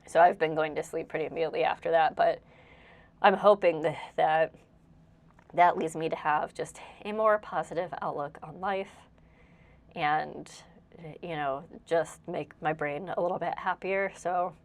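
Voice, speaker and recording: A woman's voice: deep voice, fairly even intonation, a little slow. A young woman, slightly congested, chatting casually and rambling as she narrates her house cleaning with frequent laughs. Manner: rambling, self-deprecating, laughs often. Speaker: cleaning vlogger.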